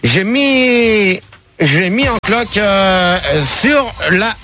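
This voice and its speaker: A man's voice: high-pitched, animated, extremely slow. A man hosting a comic radio call-in, teasing callers and openly doubting them. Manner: teasing, incredulous, repeats himself. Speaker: radio host.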